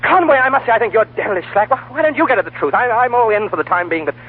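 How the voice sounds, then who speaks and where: An actor playing a hot-headed, impatient young traveller, stammering and demanding, in 1940s radio drama style. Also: male voice, high-pitched, animated, rapid-fire, slightly rough. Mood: agitated, exasperated. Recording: tinny old radio, humming noise.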